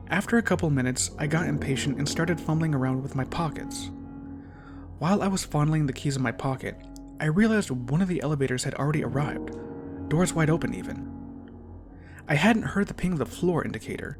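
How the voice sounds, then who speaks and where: A man narrates a short horror tale in the first person. His voice sits a little higher than most men's, and he delivers it with suspense and theatrical drama. A soundtrack plays clearly behind him. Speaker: storyteller reading short horror fiction. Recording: music behind the voice. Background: clear background soundtrack music.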